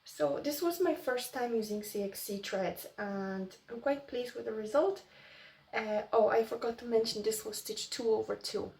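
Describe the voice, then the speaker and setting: A woman's voice: on the high side, moderate pace, creaky, a little mellow, distant mic. A woman in her early thirties from Poland, chatting casually to viewers as host of a craft vlog.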